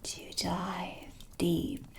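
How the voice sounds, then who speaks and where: A woman playing a mysterious, haughty dark witch who reads tarot, speaking in a deliberate, theatrical whisper for a cinematic ASMR roleplay. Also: on the low side, completely flat delivery, exaggerated voice. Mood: commanding, luring. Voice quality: whispery, creaky. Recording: music under the whispered voice. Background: dark ambient music.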